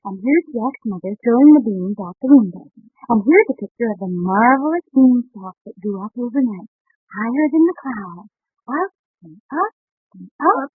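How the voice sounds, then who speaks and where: An actress playing a sensible, caring young wife and mother in a 1940s radio comedy, inquisitive and gently scolding, on a muffled old recording. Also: fairly high pitch, expressive, normal speed, husky, no echo. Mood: gentle storytelling.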